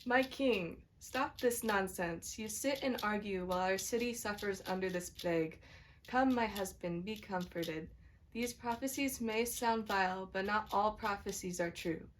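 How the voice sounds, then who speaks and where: A woman's voice: mid-range voice, unhurried, slight background noise, lightly acted. A woman playing a reassuring queen, a soothing but chiding wife, in an amateur puppet show.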